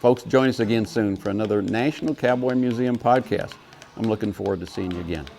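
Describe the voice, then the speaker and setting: A man hosting a podcast interview, delivering prepared questions in a measured way. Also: on the low side, vocal fry, music in the background.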